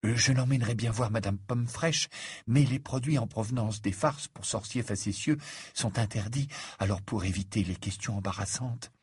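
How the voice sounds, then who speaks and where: A man's voice: lowish voice, normal speed, breathy edge, dry recording, studio quality. A man voicing a polite, earnest teenage schoolboy in an audiobook, anxiously pleading for his friend. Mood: pleading, careful.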